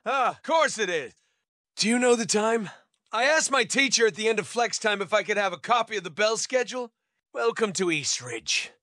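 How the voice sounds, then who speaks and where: Guy doing a snarky annoyed high school boy character, swingy pitch, super dry close recording. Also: pushed and bright, dry recording, heavily acted. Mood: sardonic. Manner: sarcastic, confrontational. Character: irritated, sarcastic, foul-mouthed male high school student. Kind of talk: character voice lines.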